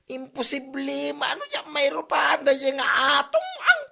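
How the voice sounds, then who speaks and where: A woman's voice: sits high, sing-song, normal speed, creaky, bright, forceful tone, full character voice. A woman with an Ilocano accent playing a boastful, daydreaming husband in a comedy drama.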